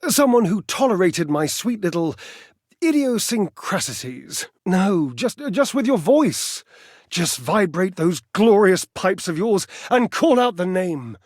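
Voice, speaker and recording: An actor playing a flamboyant, vain fitness enthusiast in a video game, speaking grandly and melodramatically and handing out teasing pet names. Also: male voice, high voice, very animated, slow, a little mellow, no room sound, clean studio sound, heavily acted. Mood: yearning, coaxing. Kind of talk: theatrical video game character acting.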